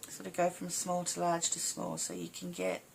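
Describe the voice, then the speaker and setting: A woman with a slightly hoarse, croaky voice giving a casual step-by-step craft tutorial in short, informal phrases as an instructor. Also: lowish voice, big pitch swings, moderate pace, slight background noise. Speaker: embroidery instructor.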